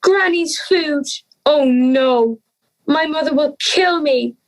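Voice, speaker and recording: A woman's voice: high-pitched, takes it slowly. A young woman playing an earnest, anxious schoolgirl in an audio drama.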